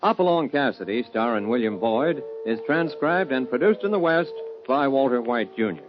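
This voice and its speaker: A man's voice: mid-range voice, expressive, normal speed, background noise. A man with an American accent announcing a 1950s radio show in a hearty, theatrical, polished scripted style. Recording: muffled vintage radio recording with music.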